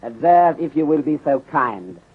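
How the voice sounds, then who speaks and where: An actor playing a courteous, shrewd detective in a 1930s radio drama, speaking formally and with measured politeness, often dropping articles. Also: male voice, on the high side, expressive, breathy edge. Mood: courteous, deliberate. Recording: muffled, tinny 1930s radio recording.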